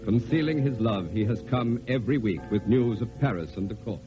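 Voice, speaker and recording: Over clear music, a man narrates a radio play in a formal, deliberate style. His delivery is dramatic and animated, on a somewhat dull old broadcast recording. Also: medium pitch.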